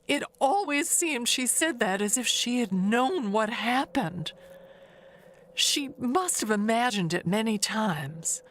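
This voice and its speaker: Slow-talking, evasive older woman played by an actress. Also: a little high, lively intonation, a bit bright, full character voice. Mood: guarded, uneasy. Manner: halting, rambling, confiding. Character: chatty, evasive older woman neighbor harboring a secret. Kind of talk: audio mystery monologue.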